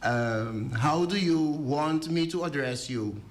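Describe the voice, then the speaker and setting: A man hosting a radio talk show, speaking slowly and hesitantly and switching between languages as he opens and interviews a guest. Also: fairly high pitch. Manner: slow, hesitant, mixes languages. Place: radio studio.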